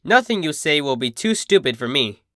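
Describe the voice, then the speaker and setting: A brash cartoon pegasus mare voiced by a story narrator looks out for a younger one. The delivery is cocky, slangy and insistent, with lively pitch and a dry, close sound. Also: normal speed, no room sound. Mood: pushy, caring.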